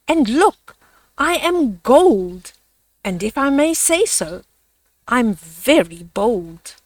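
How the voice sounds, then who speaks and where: A woman voicing a bold, boastful male golden eagle in a children's storybook, loudly and proudly declaiming. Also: fairly high pitch, no room sound. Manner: loud, proud, drawn-out declaiming.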